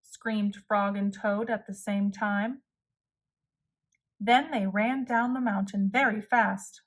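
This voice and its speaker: A woman narrating a children's storybook aloud with deliberate pauses and a storytelling delivery. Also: medium pitch, fairly even intonation. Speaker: storybook reader.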